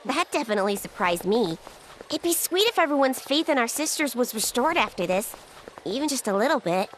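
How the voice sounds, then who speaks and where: A frank teenage girl with a slightly cynical streak, high and bright. Her delivery is casual and animated, in an anime game style. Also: brisk, slight background noise, low-quality recording. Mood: relieved, candid. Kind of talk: English anime game voice acting.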